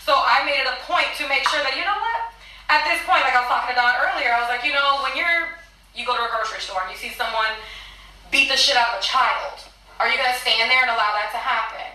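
A woman's voice: high voice, quick pace, pushed and bright. A woman co-hosting a casual discussion podcast, speaking earnestly and emphatically about personal experiences. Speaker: podcast co-host. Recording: echoey room, distant mic.

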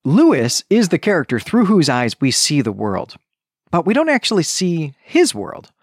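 A man's voice: a little high, wildly swooping pitch, moderate pace, husky, no room sound, studio quality. A middle-aged American man from the Chicago suburbs hosting a book podcast, talking through a review in an enthusiastic, discursive, conversational way. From suburb of Chicago, US Midwest. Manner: enthusiastic, discursive, often checks in with "right?".

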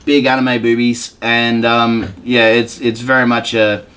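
A man's voice: mid-range voice, little pitch movement, a little slow, fairly bright tone, clean tone. Man hosting a casual gaming podcast. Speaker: podcast host. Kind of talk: casual chat about video games.

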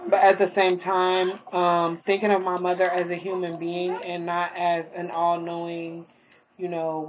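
Young woman kinda hoarse from being sick, lowish voice, rambling late night at home, lots of ums. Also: slight background noise, poor audio. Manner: rambling, filler-heavy, talks while gaming. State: slightly hoarse, recovering from illness. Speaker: podcaster. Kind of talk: casual late-night monologue.

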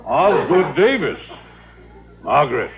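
Hemming, evasive suitor, tinny radio. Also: male voice, on the high side, sing-song, slow, gravelly, full character voice. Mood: alarmed. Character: hesitant, evasive, smooth-talking suitor in a radio farce. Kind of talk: old-time radio comedy acting. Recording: tinny, hissy old radio broadcast.

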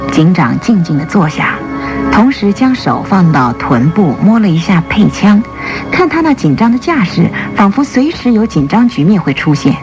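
A woman's voice: mid-range voice, very animated, moderate pace, highs cut off. A woman narrating a radio drama in a dramatic, scripted, literary storytelling style. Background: prominent music.

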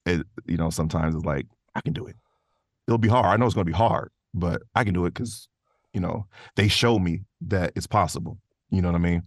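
Low-voiced man, rambling enthusiastic casual chat. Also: fairly quick, no echo, studio quality. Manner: rambling, enthusiastic, peppers talk with "man" and "you know". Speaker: podcast guest, voice actor. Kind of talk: casual conversational interview.

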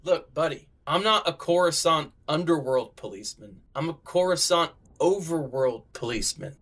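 A man's voice: a little high, expressive, moderate pace, a bit bright. A man playing an exasperated, sarcastic sci-fi city policeman in a comedic radio drama, grumbling and impatient. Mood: exasperated, indignant.

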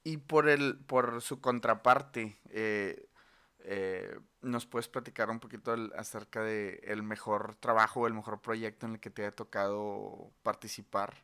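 Bright, resonant male interviewer. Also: fairly low pitch, fairly even intonation, a little slow, smooth. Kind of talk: casual interview questions.

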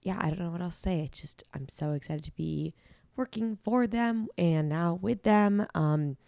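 Hoarse woman host, casual and upbeat, gravelly with a lowish pitch. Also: normal speed, warm tone. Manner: chatty, upbeat, frequent "um" fillers. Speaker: podcast host. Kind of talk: casual podcast interview.

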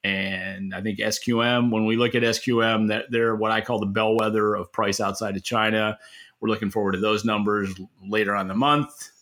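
Male podcast analyst, bright forceful voice, informal and halting conversational commentary. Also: fairly low pitch, fairly even intonation. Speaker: podcast co-host and industry analyst. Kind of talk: opinionated market commentary in conversation.

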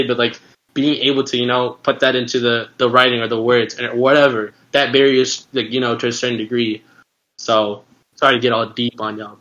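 Young man, quick and bright-voiced, earnest, halting casual talker. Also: mid-range voice, low-quality recording. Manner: earnest, halting, filler-heavy. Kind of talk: casual podcast chat.

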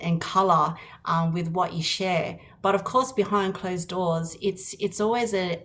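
A woman hosting a podcast, her voice sitting a little low. She asks long, winding questions in a warm chat, with many restarts and fillers. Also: slight background noise. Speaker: podcast host and counsellor. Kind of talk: casual interview.

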